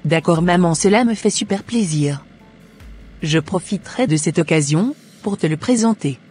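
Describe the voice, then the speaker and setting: A woman's voice: on the low side, animated, normal speed, darkish tone, breathy edge, faint music behind. A woman voicing a polite, cheerful young woman, an obedient daughter, in a scripted cartoon dub. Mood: pleased, polite.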